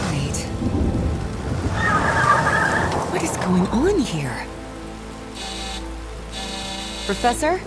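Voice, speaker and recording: Inquisitive, expressive young woman. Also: high voice, exaggerated voice. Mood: puzzled, calling out. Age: young adult. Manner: inquisitive, self-talking. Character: Evangeline Drayton, curious, impressionable young woman investigator. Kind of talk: dramatic video game acting, musing aloud.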